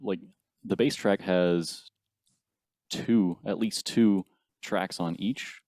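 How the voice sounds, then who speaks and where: A man's voice: low-pitched, slow, darkish tone, no room sound. A man in his early twenties, a band musician, explaining things step by step in a casual interview. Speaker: band bassist, guest.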